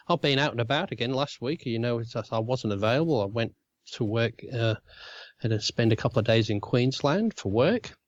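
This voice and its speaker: A man co-hosting a casual podcast, throaty from a cold, talking in halting phrases he often restarts. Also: medium pitch, lively intonation, dry recording, highs cut off. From Australia. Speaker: podcast co-host. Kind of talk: casual chat.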